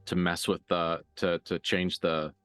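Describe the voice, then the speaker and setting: A man running a tabletop role-playing game as game master, improvising narration and dialogue in a casual, halting, sweary way. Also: low-pitched, little pitch movement, a bit bright, clean tone, no echo, faint music behind.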